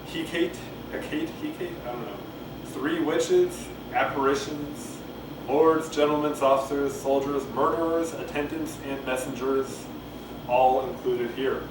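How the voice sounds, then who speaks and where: A man's voice: mid-range voice, steady tone, a little slow. A man casually reading a play aloud to camera, rambling, hesitant and self-deprecating, with muttered asides. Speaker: amateur play reader. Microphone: camcorder microphone at some distance. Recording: echoey, noisy camcorder audio from far off.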